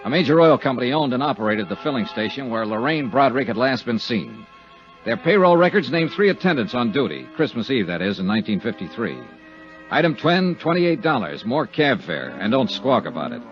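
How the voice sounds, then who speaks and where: Guy acting sardonic private eye narrator, lively pitch swings, dull old radio sound. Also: a little fast, lightly acted. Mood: dry narration. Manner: sardonic, clipped. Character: wry, world-weary, hard-boiled male insurance investigator. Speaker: lead and narrator. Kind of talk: 1950s radio drama acting, first-person narration. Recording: dull 1950s radio recording with music.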